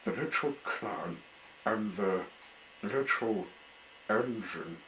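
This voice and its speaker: Male children's storyteller, unhurried deliberate phrasing, crisp bright tone, faint noise in the background. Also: lowish voice, animated, poor audio. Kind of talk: reading a children's story aloud.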